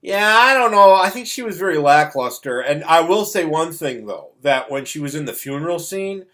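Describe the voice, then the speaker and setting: Male review host, middle-aged, higher-than-average pitch, exasperated and opinionated, casual delivery. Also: animated, moderate pace, pushed and bright. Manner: blunt, scornful, exasperated. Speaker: host of a movie review show. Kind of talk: casual opinionated movie rant.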